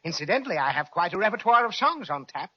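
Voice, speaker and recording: An actor playing a vain, jolly crocodile with grandiose, flowery, drawn-out speech, in the style of an old children's radio serial. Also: male voice, high-pitched, sing-song, breathy edge, full character voice. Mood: boastful. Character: vain, jolly, theatrical crocodile who fancies himself a singer. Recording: dull, lo-fi old radio recording.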